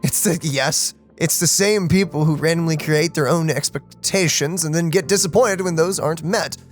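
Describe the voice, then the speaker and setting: A woman's voice: sits low, normal speed. A woman streaming a let's play, casually commenting and reading game dialogue aloud. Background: game music.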